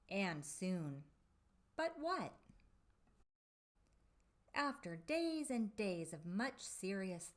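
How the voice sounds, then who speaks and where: Slow, animated woman reading a storybook. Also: medium pitch. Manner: theatrical, drawn-out emphasis with dramatic pauses. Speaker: storybook reader for children. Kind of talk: expressive read-aloud of a children's picture book.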